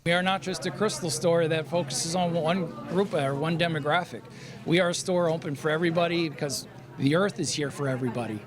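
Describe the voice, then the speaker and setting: Male shop owner, fairly high voice, conversational soundbite over clear outdoor crowd noise. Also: fairly quick. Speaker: shop owner being interviewed. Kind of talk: conversational news interview. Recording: outdoor street with crowd chatter behind.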